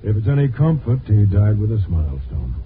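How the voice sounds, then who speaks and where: A man's voice: lowish voice, sing-song, brisk. A man playing a sympathetic hospital doctor in a 1950s radio drama. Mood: gentle, somber. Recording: tinny old radio recording, faint music.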